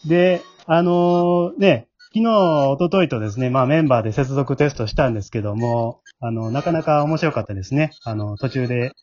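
A man's voice: medium pitch, fairly quick, few pauses, darkish tone. Polite man hosting, muffled sound. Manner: polite, explanatory. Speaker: host and scriptwriter of an amateur drama group. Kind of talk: casual hosting chat. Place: at home, remote recording.

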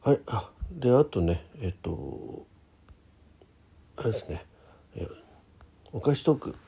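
Husky, creaky-voiced man chatting casually. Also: mid-range voice, sing-song, a little slow, dark tone. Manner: hesitant, conversational, self-agreeing asides. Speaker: host of a personal audio talk show. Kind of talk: casual solo radio chat, reading listener messages.

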